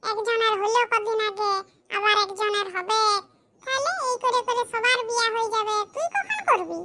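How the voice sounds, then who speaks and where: A plain-spoken, affectionate village mother who fusses over her family in a comedy cartoon. Her voice is extremely high and bright, with a pitched-up filter. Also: moderate pace. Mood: nagging.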